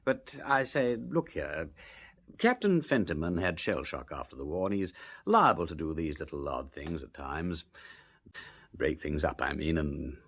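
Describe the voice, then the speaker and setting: An actor playing an affable, courteous upper-class English gentleman sleuth with a breezy manner in a radio drama. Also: rumbling low, animated, measured pace, full character voice. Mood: tactful, probing.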